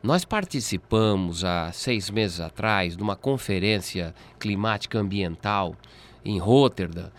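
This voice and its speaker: A man's voice: lowish voice, lively intonation, measured pace. A man with a Brazilian accent giving explanatory interview answers in a didactic way, often checking with tag questions. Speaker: interviewee, port expert.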